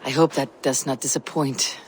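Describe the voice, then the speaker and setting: An actress playing a stoic, loyal female Viking raider with a measured, plain-spoken manner in a video game. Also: extremely low pitch, fairly even intonation, slight background noise. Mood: gentle, sincere. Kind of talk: video game cutscene acting.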